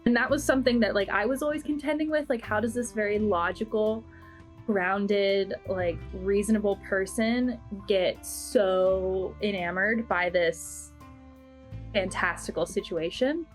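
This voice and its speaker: A woman with an average-pitched voice thinks aloud in casual conversation. She is reflective and searches for her words, with light guitar music behind her. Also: a little slow. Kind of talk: casual discussion in a group Q&A. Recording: background music under the voice.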